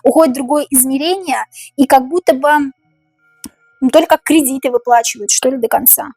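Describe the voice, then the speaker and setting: Tarot reader, woman, high voice going hoarse, dry close sound, mulls things over aloud. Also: dark tone, no echo, faint music behind. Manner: repeats each question slowly, muses over the cards. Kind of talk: tarot reading to camera, thinking aloud.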